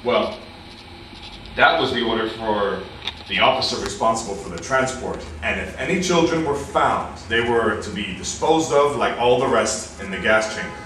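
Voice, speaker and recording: A man's voice: medium pitch, expressive, normal speed, a bit bright, lightly acted. A man playing a defensive, evasive Nazi work-detail leader under interrogation in a staged reading. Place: meeting room, staged reading at a table. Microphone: far camera microphone. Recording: very echoey room, far microphone, hiss.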